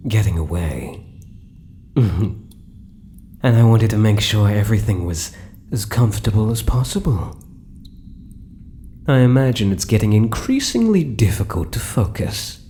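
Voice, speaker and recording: A British man playing a possessive, sinister yet doting male spider-human monster in an intimate ASMR roleplay, crooning with soft menace and dropping into whispers. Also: lowish voice, slight background noise, exaggerated voice.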